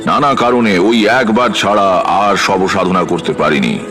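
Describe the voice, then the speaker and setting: A man playing a tantric practitioner who narrates a horror audio story in a measured, suspenseful way. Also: sits low, music in the background. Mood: reflective. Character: male tantric practitioner recounting his eerie past rites.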